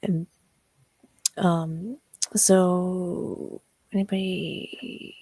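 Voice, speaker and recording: Host, young woman, very low husky voice, shy and hesitant, video call. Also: steady tone, very slow, dark tone, dry recording, low-quality recording. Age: young adult. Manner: shy, hesitant with many ums. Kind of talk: livestream interview.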